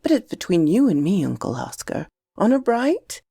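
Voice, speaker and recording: A woman's voice: mid-range voice, sing-song, normal speed, creaky, dark tone, husky, no room sound, clean studio sound, full character voice. Woman voicing an earnest, secretive young boy. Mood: grave. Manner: grave, insistent, polite. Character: earnest, secretive young boy, gravely confident about betting.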